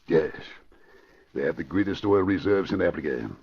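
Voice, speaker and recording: An American man playing a scheming middle-aged investment crook in old radio crime drama style, commanding, smooth-mannered and emphatic. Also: deep voice, normal speed. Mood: persuasive, secretive. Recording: muffled old radio recording.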